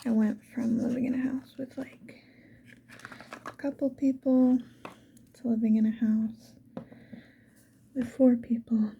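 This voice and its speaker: A woman whispers a casual ASMR chat in a very soft, dark and mellow voice, a little high in pitch. She muses to the viewer without hurry. The recording is muffled and lo-fi, with light background noise. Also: little pitch movement, measured pace, creaky, long pauses. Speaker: ASMR video creator.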